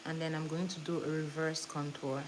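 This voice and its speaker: A woman's voice: sits low, little pitch movement, a little fast. A woman from eastern Nigeria, chatting casually to viewers and giving step-by-step instructions as she hosts a makeup tutorial. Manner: chatty, instructive, talking to viewers while working. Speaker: makeup tutorial host on a livestream. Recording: clear background noise, room ambience.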